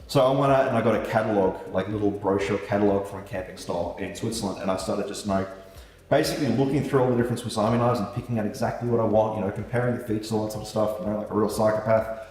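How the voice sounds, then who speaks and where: A man's voice: lowish voice, fast talker, darkish tone. A man who grew up speaking German first, a knife maker chatting casually to his viewers in a laid-back way with the odd swear. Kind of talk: casual vlog talk to viewers. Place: room with a light set up. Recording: echoey room, mic far off.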